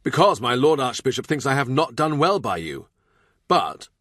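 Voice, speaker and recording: A man's voice: medium pitch, very animated, moderate pace, fairly bright tone, no echo, clean studio sound, pitched above the performer's own voice. A man voicing an imperious yet gracious middle-aged English king in audiobook dialogue, with a commanding, declarative manner. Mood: aggrieved, regal.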